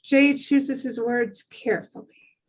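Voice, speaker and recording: A woman with a high voice narrates a screenplay's action lines. Her reading is slow and scripted. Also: fairly even intonation. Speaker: stage directions reader.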